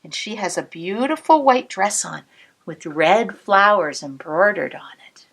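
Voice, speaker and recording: A woman cantor reading a picture book aloud to children, expressive, pausing to point out the pictures. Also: mid-range voice, vocal fry, husky. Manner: warm, expressive storytelling with asides to the listener.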